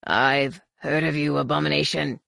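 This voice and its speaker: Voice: medium pitch, level tone, a little fast, dry recording, studio quality, close to an everyday voice. A narrator voicing a massive alien war leader from an audiobook, contemptuous and zealous, with a sneering, menacing delivery. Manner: sneering, menacing, barked commands.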